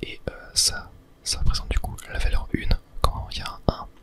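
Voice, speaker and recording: A gaming host speaks entirely in a soft, breathy whisper for an ASMR let's play. The delivery is rambling and casual, with self-corrections and small clicky filler sounds as they think aloud. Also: moderate pace.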